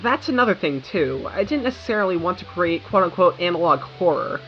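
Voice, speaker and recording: A woman's voice: on the low side, sing-song, fairly quick. A young American woman narrates in an informal, wry way, like a creator talking viewers through a video essay. Her audio is slightly dull, with music playing behind her. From United States. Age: young adult. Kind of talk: scripted video essay narration with casual asides. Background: background music.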